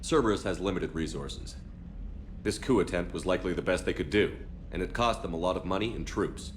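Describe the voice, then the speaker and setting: A man acting a low-voiced, steadfast commander. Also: fairly quick, slight background noise, close to an everyday voice. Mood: resolute. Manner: firm, direct, resolute. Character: steadfast, plain-spoken male space military commander. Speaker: military commander. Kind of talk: video game dialogue acting.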